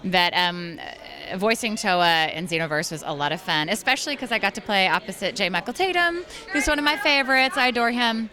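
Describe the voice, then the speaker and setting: Bubbly, bright-voiced woman actress. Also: medium pitch. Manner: bubbly, chatty, quick to laugh. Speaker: voice actress and singer. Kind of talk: convention interview.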